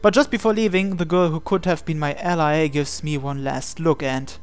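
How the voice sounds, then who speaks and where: A man's voice: fairly high pitch, fairly even intonation, normal speed, clear, resonant tone, faint music behind. A man hosting a game video, reading visual novel text aloud and adding his own asides. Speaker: gaming video host.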